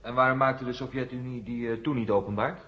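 A dull 1970 radio recording of a man playing a press reporter. He talks very fast at a middling pitch, direct and probing in a radio drama manner. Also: steady tone, natural voice. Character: probing, brisk press reporter questioning military chiefs. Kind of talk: Dutch radio play acting, 1970.

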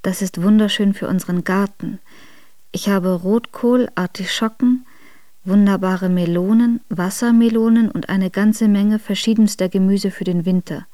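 A woman playing a devoted young exiled noblewoman in a radio drama, reading her letters in a measured, intimate and formal way. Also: medium pitch, steady tone, warm tone, dry recording, lightly acted. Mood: quietly content.

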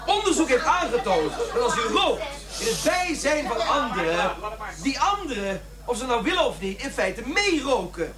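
A man's voice: extremely high pitch, big pitch swings, moderate pace, crowd noise. A man playing an irritable, self-righteous office worker in a radio drama, defensive, pompous and wordy in his way of talking. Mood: preachy, pompous.

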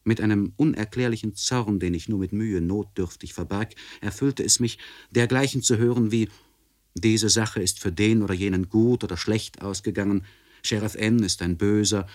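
An actor playing a tormented, learned gentleman in a literary radio monologue, speaking formally and deliberately in elevated, precise language. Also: on the low side, fairly even intonation, dry recording, studio quality, natural voice. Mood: uneasy, indignant. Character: anguished, learned gentleman writing a confessional letter, tormented and precise.

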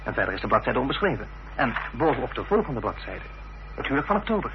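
Animated, quick-talking courteous gentleman. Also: medium pitch, slight background noise. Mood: surprised. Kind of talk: radio play acting. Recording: dull, humming old radio recording.

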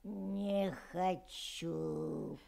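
A woman voicing a stubborn, creaking household object that talks in an audio fairy tale. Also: lowish voice, fairly even intonation, extremely slow, warm tone, full character voice. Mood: stubborn, refusing.

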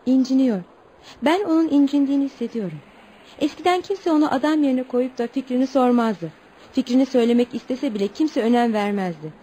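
Wistful young tribeswoman, high voice, formal storytelling delivery, radio play with light background noise. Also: animated, fairly quick, warm tone, low-quality recording. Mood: troubled, earnest. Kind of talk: radio theatre acting.

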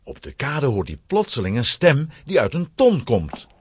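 Animated male narrator, slightly husky. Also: mid-range voice, normal speed, dry recording, studio quality. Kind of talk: scripted children's audio play narration.

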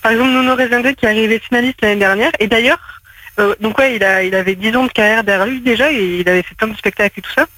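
A man from Bordeaux calling in to a radio show, speaking hesitantly with halting restarts. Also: sits high, pushed and bright, poor audio. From Bordeaux, France. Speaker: radio caller. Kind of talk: casual phone-in chat.

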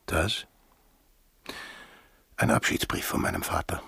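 An actor playing a brooding, sardonic, defiant sailor in a radio drama, speaking tersely in a husky, gravelly voice. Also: male voice, slow, bright, forceful tone, dry recording, studio quality. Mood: brooding, resolute.

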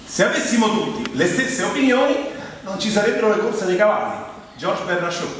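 Male stage monologist, Roman accent, colloquial comic delivery, higher-pitched voice, distant in a heavily reverberant hall. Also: big pitch swings, brisk, noisy background. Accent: Roman Italian. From Rome, Italy. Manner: conversational, colloquial, with rhetorical questions and asides. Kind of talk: humorous theatrical monologue. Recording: very echoey hall, distant mic, music. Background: plucked guitar music.

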